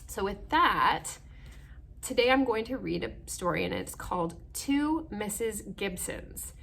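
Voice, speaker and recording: Slow-paced woman reading to young children. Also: mid-range voice, very animated, vocal fry. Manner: gentle, simple explanations with frequent questions to the child listener. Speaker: story time host. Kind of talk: children's read-aloud with asides to young listeners.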